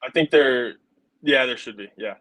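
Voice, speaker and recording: A man's voice: medium pitch, moderate pace, a bit bright, no echo, rough recording. A young man giving brief, polite, laid-back answers as an athlete guest in a casual interview. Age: young adult. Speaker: baseball player guest.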